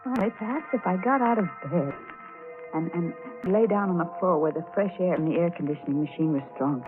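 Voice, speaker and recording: An actress playing an anxious, haunted wife in a 1940s radio thriller, halting and stammering with breathless dramatic delivery. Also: female voice, lowish voice, lightly acted. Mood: haunted narration. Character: anxious, haunted wife slowly unravelling with dread. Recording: tinny vintage radio recording with music.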